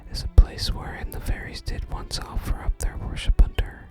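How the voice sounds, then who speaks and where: An ASMR gamer voicing a solemn, archaic-speaking sage from a fantasy game in a hushed whisper. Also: moderate pace, close to an everyday voice. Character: solemn archaic sage guarding a sacred staff. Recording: soft music under the whisper.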